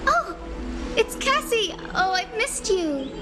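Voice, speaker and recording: A woman's voice: very high-pitched, very animated, takes it slowly, exaggerated voice. A woman voicing a cheerful, kind young nurse in a fantasy game, sweet, eager and reassuring. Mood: excited. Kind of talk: anime-style fantasy game voice acting. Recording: loud clear music behind the voice. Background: game music.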